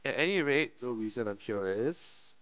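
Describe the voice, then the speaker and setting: A man's voice: medium pitch, animated, moderate pace, a bit bright, clear, resonant tone, dull audio. A man live-streaming a visual novel, reading it aloud with slangy commentary and frequent stumbles over the text. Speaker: game streamer.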